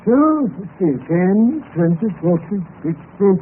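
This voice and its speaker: Agreeable, loyal companion, clipped delivery, raised pitch, noisy vintage radio drama recording. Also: male voice, big pitch swings, slow pace, phone-quality sound, heavily acted. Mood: obliging, brisk. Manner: clipped, agreeing, echoing phrases. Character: agreeable, obliging Colonel, a gentleman's loyal companion. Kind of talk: old-time radio drama acting.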